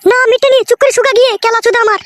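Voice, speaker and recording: A woman voicing a bossy, sharp-tongued young wife in an amateur comic cartoon, scolding curtly with foul-mouthed threats. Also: extremely high pitch, steady tone, very fast. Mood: menacing, furious. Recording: loud noise behind the voice.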